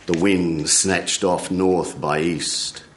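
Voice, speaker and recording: Very slow, theatrical male storyteller. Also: low-pitched, fairly even intonation. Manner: incantatory, theatrical, with repetition. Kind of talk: dramatic live storytelling, folk tale narration. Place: radio studio before a live audience. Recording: roomy, echoing live radio studio.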